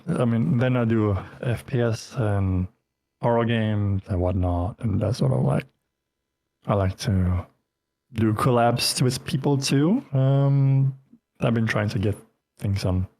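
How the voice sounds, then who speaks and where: A man with a Danish accent chats as a guest in a casual interview. His talk wanders and is full of filler words, with a voice at an ordinary middle pitch. The sound is dry, with no room echo. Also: a little slow, vocal fry, darkish tone. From Denmark. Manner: rambling, heavy with fillers. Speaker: guest streamer.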